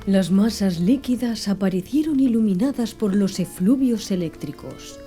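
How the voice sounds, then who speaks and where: A woman narrating an audiobook in a soft, slow, hushed ASMR style. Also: medium pitch. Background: faint background music.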